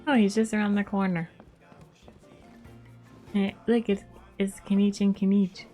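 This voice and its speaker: A woman's voice: medium pitch, level tone, normal speed, dark tone, faint music behind. A woman game streamer chatting casually on a live stream and reacting to the game, laid-back and chatty.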